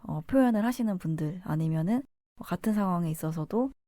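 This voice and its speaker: Low, mellow-voiced woman speaking politely. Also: lively intonation, no room sound, studio quality. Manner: polite, measured, formal endings. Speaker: co-host. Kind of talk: casual advice chat.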